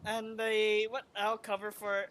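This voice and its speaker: A woman's voice: mid-range voice, completely flat delivery, measured pace, a bit bright, a little hiss or hum. A woman streamer giving casual live commentary as she plays a game. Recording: game music behind the voice.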